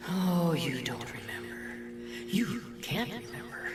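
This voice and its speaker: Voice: mid-range voice, big pitch swings, slow pace. An actor playing the mocking, disgusted inner voice of an old man, sardonic and needling, in an audio drama. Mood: sly, needling. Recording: roomy echo, music behind.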